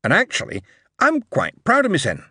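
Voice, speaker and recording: An eager young Yorkshire lad, lifted a little above the reader's own voice, whose words tumble out in a lively up-and-down rush, as a man reads him. The tone is slightly husky and close. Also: sits high, normal speed, no room sound, voice pushed up, full character voice.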